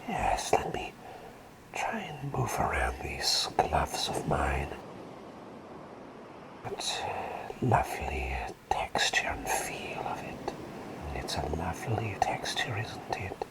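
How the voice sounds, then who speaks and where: A man playing an eccentric, scatterbrained but gentle plague doctor in a soft, soothing, rambling whisper for an ASMR roleplay. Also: very deep voice, creaky, full character voice, poor audio. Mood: soothing, pleased. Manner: rambling, soothing, softly repeating words. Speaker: ASMR performer.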